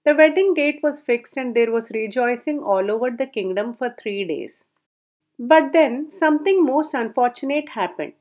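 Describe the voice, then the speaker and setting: A woman narrating a folk tale aloud in a measured storybook reading, her sentences falling at the ends. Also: a little high, expressive, darkish tone.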